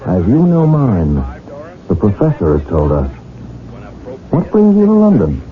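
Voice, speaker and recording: Deep-voiced, anxious radio drama husband. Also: male voice, wildly swooping pitch, a little slow. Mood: probing, formal. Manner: dramatic, earnest, formal diction. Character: anxious husband narrating a mystery, earnest and pressing. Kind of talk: 1970s radio drama acting and narration. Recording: dull old radio broadcast, music behind.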